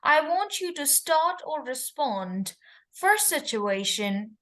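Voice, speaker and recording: Slow, high-voiced woman examiner. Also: sing-song, a bit bright. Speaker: exam interlocutor. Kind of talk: mock speaking test.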